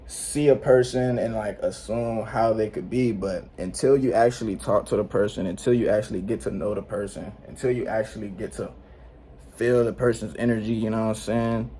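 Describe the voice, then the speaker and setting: Teen male vlogger, low voice, slangy and rambling, faint room hum behind. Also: fairly even intonation, moderate pace, dark tone. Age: late teens. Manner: slangy, rambling, laid-back, frequent "you know what I'm saying". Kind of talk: unscripted casual vlog talk. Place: indoors at home.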